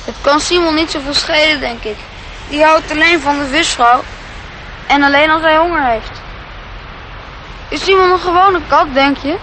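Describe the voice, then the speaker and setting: An earnest little boy in a radio play. The voice is high and childlike, curious and trusting. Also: moderate pace, pauses often, pushed and bright, a little hiss or hum, poor audio. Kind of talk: radio drama acting.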